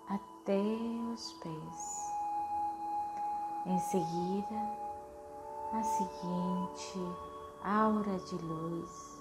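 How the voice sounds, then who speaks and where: Female meditation guide, slightly low voice, soothing and unhurried delivery in short spaced phrases, over prominent meditation music. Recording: music behind the voice.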